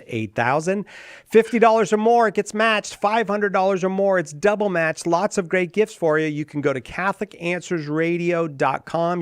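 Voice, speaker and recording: A male radio host speaks into a dry studio mic with a slightly raised pitch. He is upbeat and jokes easily. He punches the key numbers when he urges people to call. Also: no room sound. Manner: upbeat, joking, emphatic appeals. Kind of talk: live radio hosting, fundraising appeal.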